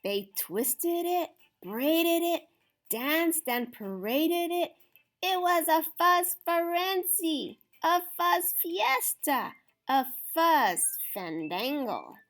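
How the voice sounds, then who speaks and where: A woman reading a children's picture book aloud as a storytime reader, with expressive, dramatic, deliberate phrasing. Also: very high voice.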